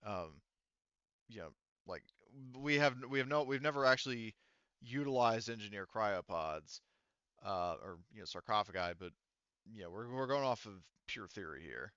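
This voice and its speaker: A man running a tabletop roleplaying game as game master, explaining things in a halting, rambling way. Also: on the low side, animated, bright, forceful tone, smooth, no echo, highs cut off.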